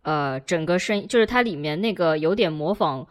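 A woman's voice: lowish voice, animated, brisk. A woman co-hosting a casual podcast conversation, speaking in a blunt, direct way. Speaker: podcast host.

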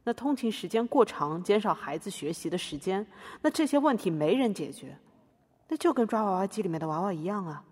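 Female, lowish husky voice, sardonic argumentative activist played by an actress, podcast satire, reverberant. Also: animated, fairly quick, darkish tone. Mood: earnest arguing. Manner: argumentative, explanatory, ironic. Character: sardonic female artist-activist arguing her case in court. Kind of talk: scripted satirical monologue in a podcast drama. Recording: reverberant room.

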